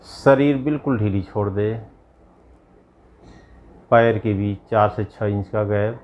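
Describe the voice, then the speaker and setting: A man guiding a yoga nidra meditation as a yogi, giving measured instructions in short, spaced phrases. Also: lowish voice, dull audio. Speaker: yogi leading guided meditation.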